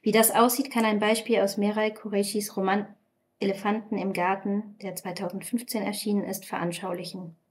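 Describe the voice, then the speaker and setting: A woman presents a literature podcast from a script. Her pitch sits in a middle range, and her delivery is measured and steady. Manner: measured, reads quoted passages aloud.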